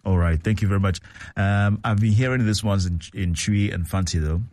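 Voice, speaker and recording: A man hosting a call-in radio show, repeating callers' words back in a relaxed way. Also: lowish voice, fairly quick, a little hiss or hum. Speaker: radio host.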